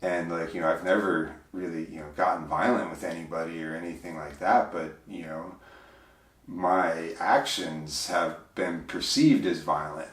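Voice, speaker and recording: A man with a big, deep voice, speaking as an interview guest in a reflective, hesitant way, full of fillers and restarts. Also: normal speed, recorded from a distance. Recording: roomy, voice from off mic.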